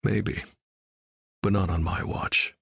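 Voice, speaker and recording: An actor playing a grim, battle-hardened vigilante leader, dry and deadpan, in video game dialogue. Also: male voice, deep voice, takes it slowly, vocal fry, husky, no echo, heavily acted. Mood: somber. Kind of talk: video game character voice lines.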